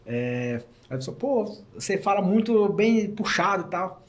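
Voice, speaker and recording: Man, reporter guest, high voice, Northeastern-São Paulo mixed accent, animated storyteller. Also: normal speed. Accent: Northeastern Brazilian mixed with São Paulo. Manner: animated storyteller, often says "cara". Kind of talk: casual podcast chat.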